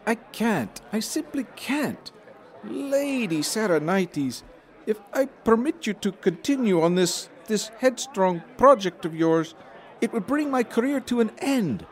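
An actor playing a fussy, exasperated magistrate in an audio drama, officious and theatrical in manner. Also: male voice, high-pitched, expressive, unhurried, some chatter behind. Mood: exasperated, pleading. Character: fussy, exasperated colonial magistrate, anxious for his career. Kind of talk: full-cast audio drama acting.

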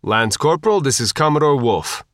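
A man's voice: medium pitch, expressive, normal speed, a bit bright, no room sound, clean studio sound, natural voice. Man voicing a laconic Coast Guard petty officer. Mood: matter-of-fact. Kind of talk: audiobook character dialogue.

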